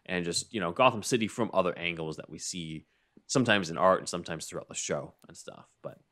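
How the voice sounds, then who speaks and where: A man's voice: low voice, fairly quick. A man in his thirties casually presenting concept art on a podcast, speaking over a headset mic from home and laughing easily. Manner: laid-back, relaxed, laughs often. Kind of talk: casual chat over video call. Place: home room. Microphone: headset mic on a video call.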